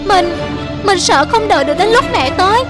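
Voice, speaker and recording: A woman voicing a playful, careless young leopard cub in a children's cartoon, childlike and expressive. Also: very high-pitched, moderate pace, loud music behind the voice. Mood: panicked.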